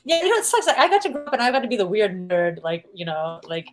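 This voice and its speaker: A woman with a light Singaporean accent talks easily as an interview guest. She is playful and chatty, laughs often and fills her pauses with "um" as she tells her stories. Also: mid-range voice, sing-song, over music. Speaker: musical guest. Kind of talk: casual interview chat.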